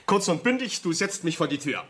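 A man's voice: a little high, expressive, fairly quick, a bit bright, slightly rough. An actor playing an earnest, ambitious young architect in a radio drama, stammering and repeating words as he pleads. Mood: bitter, disappointed. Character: earnest, ambitious young male architect, excitable and easily hurt. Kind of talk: German radio drama acting.

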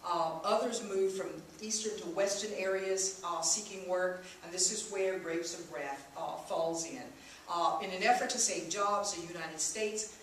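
A woman's voice: lowish voice, fairly bright tone. A middle-aged woman, a history lecturer, talking informally through slides to an audience in a meeting room. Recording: very echoey room, distant, faint noise.

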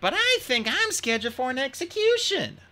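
A woman doing a brave teenage girl in a storybook, her voice pitched high and bright with lively swings. She sounds earnest, young and a little breathless. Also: normal speed, heavily acted. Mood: wry.

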